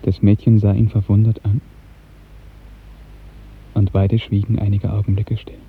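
A man narrating a literary radio drama in an earnest, lyrical, carefully enunciated way, with a soft, husky voice. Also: fairly low pitch, little pitch movement, a little slow, slight background noise. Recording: muffled narrow-band 1950s radio recording.

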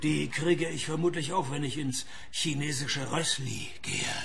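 An actor playing a wisecracking, hard-up private detective in a radio play, with dry deadpan delivery. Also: male voice, fairly high pitch, unhurried, gravelly, crowd noise. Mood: sardonic, dry. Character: wisecracking, cash-strapped male private detective. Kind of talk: radio play dialogue and first-person noir narration.

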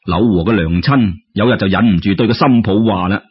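A man with a Cantonese accent telling a folk tale in an expressive, colloquial, exclamatory storyteller's style, his voice husky. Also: fairly low pitch, fairly quick, dull audio.

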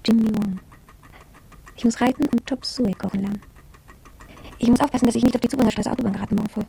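A woman's voice: fairly high pitch, steady tone, fast talker, vocal fry, warm tone. An actress playing an impressionable, wondering young shop girl who confides her experiences in a reflective radio drama monologue. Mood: sleepless, excited.

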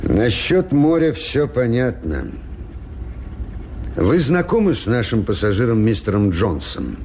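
An actor playing a gruff, uneasy ship's captain in a Soviet radio drama, hesitant and deliberate, with muttered asides, on a noisy old recording. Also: male voice, mid-range voice, very animated, darkish tone. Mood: hesitant, cautious.

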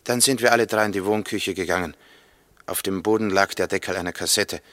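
An actor playing a plain, sober witness in a 1960s German radio play, giving a factual step-by-step account. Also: male voice, deep voice, brisk, fairly bright tone, no echo. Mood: sober recounting. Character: male witness, plain neighbor soberly recounting a grim discovery.

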